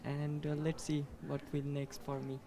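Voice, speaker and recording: Mellow-voiced young man from Bangladesh. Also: fairly high pitch, monotone, slight background noise. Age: young adult. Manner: halting, with many fillers. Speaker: student.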